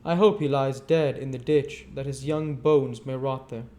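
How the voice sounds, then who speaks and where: A man's voice: medium pitch, steady tone, normal speed, dark tone, pitched above the performer's own voice, natural voice. A man voicing a bitter, defiant young woman of the streets.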